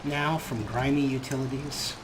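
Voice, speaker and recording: A man of middle pitch narrates gameplay as a dry, dramatic storyteller. He talks about his character in the third person and keeps a wry tone. Loud game ambience runs steadily underneath his voice. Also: fairly even intonation, moderate pace, very noisy. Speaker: let's play host.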